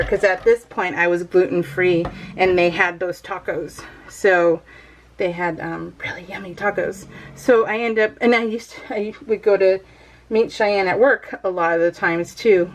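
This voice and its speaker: A woman's voice: medium pitch, poor audio. Chatty, giggly middle-aged American woman. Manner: giggly, self-deprecating, chatty. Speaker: craft video host. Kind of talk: casual rambling chat.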